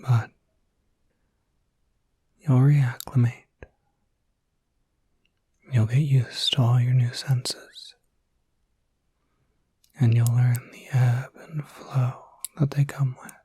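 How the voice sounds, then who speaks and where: Slow, whispering gentle werewolf played by a man. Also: medium pitch, flat delivery, full character voice. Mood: gentle, encouraging. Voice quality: soft, breathy whisper. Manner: soothing, hesitant, unhurried. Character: gentle, reassuring male werewolf caretaker, pleasant and patient. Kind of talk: ASMR roleplay. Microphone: close mic.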